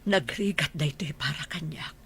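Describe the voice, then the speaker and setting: A woman's voice: sits low, moderate pace, fairly bright tone, husky, exaggerated voice. A woman acting as a hurt, weary middle-aged mother in a radio drama, plaintive, with an Ilocano Filipino accent. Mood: sorrowful. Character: hurt, weary mother doubting her wayward son.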